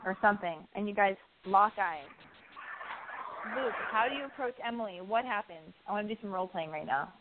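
A woman's voice: medium pitch, slight background noise. A woman hosting a casual chat, candid, joking and freely profane. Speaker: host. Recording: thin, phone-like narrowband sound.

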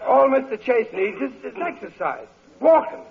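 A man's voice: extremely high pitch, very animated, moderate pace, creaky, exaggerated voice. A man acting in an old-time radio comedy as a bumbling, stammering working-class fellow posing as a doctor. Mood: bluffing. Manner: stammering, blustering, evasive. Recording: muffled, noisy vintage radio broadcast.

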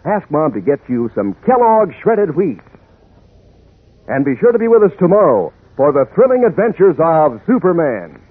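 A man's voice: on the high side, sing-song, moderate pace, breathy edge, no room sound. A man announcing radio advertisements in a chummy, enthusiastic 1940s style aimed at children. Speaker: announcer, sponsor pitchman. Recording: tinny 1940s radio recording.

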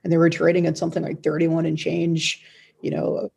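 Higher-pitched man with gravelly, halting delivery. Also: monotone, darkish tone. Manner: hesitant, halting, repeats words mid-sentence. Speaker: news editor and guest commentator. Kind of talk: conversational news analysis.

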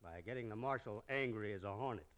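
An actor playing a scheming, self-assured frontier banker with a smug, deliberate manner in an old-time Western radio drama. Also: male voice, on the low side, a bit bright, lightly acted.

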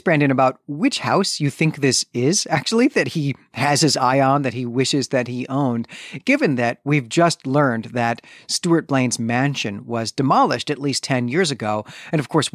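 A man co-hosting a podcast, explaining and discussing a story in a measured, articulate, bookish way. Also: medium pitch, dry recording, clean studio sound. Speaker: podcast co-host. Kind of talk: literary recap and discussion.

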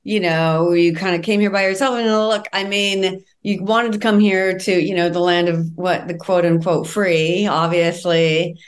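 A woman's voice: on the low side, steady tone, a little slow, few pauses. A woman hosting an interview in a casual, conversational style. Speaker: interviewer, host.

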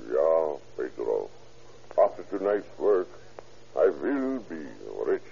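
A sinister scientist. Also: male voice, low voice, big pitch swings, slow, vocal fry, mellow, slight background noise, full character voice. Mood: sly. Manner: stammering, sly, with menacing chuckles. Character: sinister German scientist, middle-aged man, murderous. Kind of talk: 1940s radio acting. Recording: dull old radio recording.

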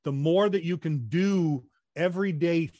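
A man's voice: fairly high pitch, mellow, dry recording, dull audio. Man with a projecting, dry voice. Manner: measured, explanatory, with frequent restarts. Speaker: guest, corporate trainer. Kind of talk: conversational interview.

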